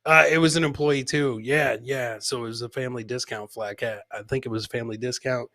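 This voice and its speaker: A man's voice: mid-range voice, steady tone, fairly quick, bright, forceful tone, no room sound, clean studio sound. A man hosting a comedy podcast, commenting casually on funny clips and repeating his phrases for comic effect.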